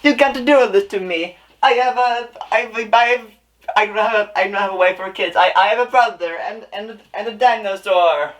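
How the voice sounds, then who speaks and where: Casting: male actor as high, bright cartoon plumber hero, distraught, pleading, stammers and repeats himself. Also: normal speed. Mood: desperate.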